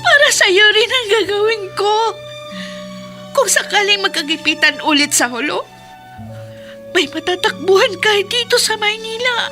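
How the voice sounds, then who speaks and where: An actress playing a peace-loving, anguished wife in a radio drama, with an emotional, pleading way of talking. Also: female voice, very high voice, lively intonation, measured pace, bright, forceful tone, soft background music, heavily acted. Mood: tearful pleading. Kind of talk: Tagalog radio drama acting.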